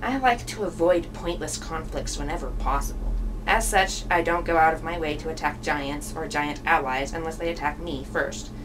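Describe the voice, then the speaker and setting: Lady doing a cool haughty witness woman, low voice, puppet show, measured, bit far from mic. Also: close to an everyday voice. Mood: defensive, composed. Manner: formal, measured. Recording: distant microphone, noisy room.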